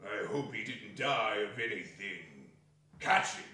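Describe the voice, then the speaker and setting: A man's voice: lowish voice, expressive, unhurried, sharp tone, slight background noise. A man playing Old Joe, a sly old junk dealer, drawing out his haggling theatrically in a stage reading. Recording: very echoey hall, distant mic.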